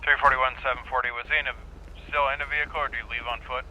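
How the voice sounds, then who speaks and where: Sharp, quick man on police radio. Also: medium pitch, level tone, a little hiss or hum, rough recording. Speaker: player acting as police dispatcher or radio officer. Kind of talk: clipped police radio roleplay.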